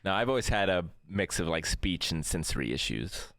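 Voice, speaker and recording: A man on a podcast speaking calmly and matter-of-factly in casual chat, with many fillers. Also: low voice, brisk, no room sound, clean studio sound. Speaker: podcast co-host.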